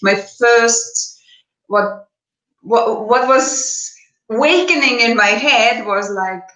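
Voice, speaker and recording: A woman's voice: fairly high pitch, takes it slowly, fairly bright tone, reverberant, rough recording. A woman from Estonia, a business coach, giving hesitant conversational interview answers with many restarts.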